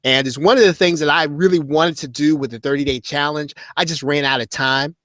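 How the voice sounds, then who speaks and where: Halting, rambling man with a dry sound. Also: a little high, steady tone, sharp tone, dry recording. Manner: rambling, many restarts and fillers. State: losing his voice. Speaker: host of an online marketing show. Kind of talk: casual live-stream talk to viewers.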